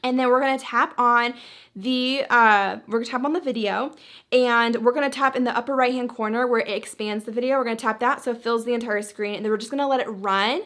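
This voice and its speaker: A woman's voice: on the high side, fairly even intonation, brisk, a bit bright. A woman hosting a social media tutorial, talking casually and chattily through each step.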